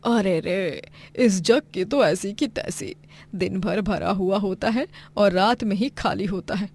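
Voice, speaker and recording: A woman's voice: medium pitch, slow, darkish tone. A woman voicing a grumpy, scolding older mother-in-law in a story cartoon, sarcastic and full of exclamations. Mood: grumbling. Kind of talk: moral story cartoon voice acting.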